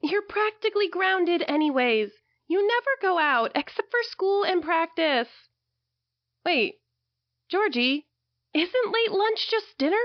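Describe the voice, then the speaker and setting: A woman playing a high-voiced teasing teen girl. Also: lively intonation, unhurried, no echo, muffled recording. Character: teasing teenage girl friend.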